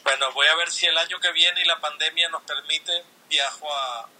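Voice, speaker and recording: A man from Venezuela joins an online chat and speaks with affection. His voice is very bright and slightly husky. The audio has the thin sound of a video call. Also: on the high side, steady tone, moderate pace, rough recording. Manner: affectionate, warm greetings. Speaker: guest.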